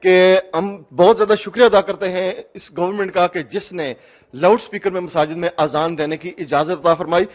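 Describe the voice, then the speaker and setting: A man's voice: sits high, steady tone, fairly quick. A man working as a field reporter, delivering a news report.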